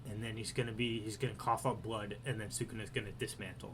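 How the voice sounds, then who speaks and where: A man co-hosting a podcast, chatting casually. He is excitable and profane, and his loudness swings a lot. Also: on the low side, quick pace, a little hiss or hum. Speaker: podcast co-host. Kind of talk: casual chat.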